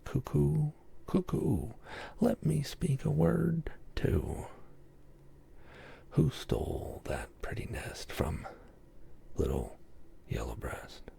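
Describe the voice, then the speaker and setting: A man reading a children's poem aloud in a soft, gentle ASMR whisper, then chatting quietly about the book. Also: a little high, wildly swooping pitch, slow pace, creaky, pauses often, husky. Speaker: reader.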